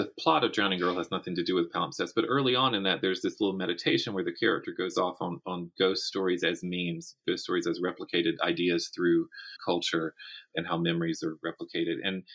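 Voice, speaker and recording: A man's voice: low voice, fairly bright tone. A man giving a conversational interview as a guest writer, explaining his craft and thinking aloud as he goes. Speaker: guest author and audio drama writer.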